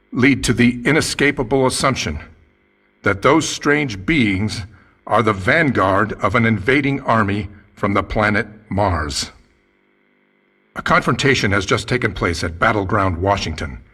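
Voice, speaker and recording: Man as a low, husky, solemn newsman. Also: bright, forceful tone, exaggerated voice. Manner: solemn, measured, dramatic. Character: grave male radio newsman announcing disaster. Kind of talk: formal news bulletin in radio drama.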